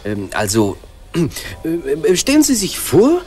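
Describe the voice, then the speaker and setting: An actor playing a gushing, brash charmer with an effusive, halting manner in a radio play. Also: male voice, on the high side, huge pitch swings, a little mellow, exaggerated voice. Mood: hesitant. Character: gushing, flattering, brash showman courting a nymph. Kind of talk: German radio play acting.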